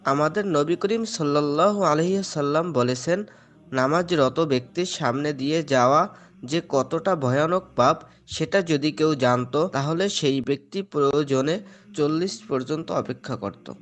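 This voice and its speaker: A man's voice: a little high, moderate pace, lightly acted. A man playing a devout father in an Islamic moral cartoon, speaking earnestly and patiently as he instructs his children. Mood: earnest admonishing. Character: devout, gently admonishing father of two.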